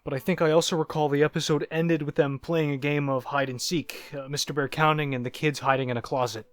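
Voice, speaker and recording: A man voicing a haunted narrator who looks back on disturbing childhood memories in a first-person horror story, halting and reflective, like a confession. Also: a little high, flat delivery, no room sound, natural voice. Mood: uneasy, recalling. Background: music.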